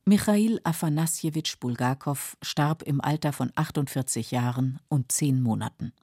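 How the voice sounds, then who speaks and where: Low, dark-voiced woman narrator. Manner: sober, measured. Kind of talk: scripted documentary narration. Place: dry radio studio.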